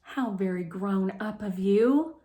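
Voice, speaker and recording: A woman's voice: mid-range voice, slow pace, mellow, reverberant, lightly acted. A woman voicing a supportive, organized storybook mother with a kindly, practical manner.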